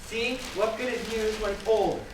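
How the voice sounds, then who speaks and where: A man playing a resigned, obedient worker who clings to his orders, speaking stiffly and deliberately in an amateur stage play. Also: sits high, fairly even intonation, close to an everyday voice. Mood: dutiful. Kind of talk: amateur stage one-act play, absurdist dialogue. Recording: very echoey hall, distant mic, faint noise.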